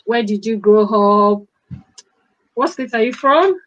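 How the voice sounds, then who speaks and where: A woman's voice: on the high side, expressive, slow. A woman hosting an interview, chatting casually and often affirming her guest. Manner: encouraging, frequent affirmations. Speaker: interview host. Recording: dull, lo-fi sound.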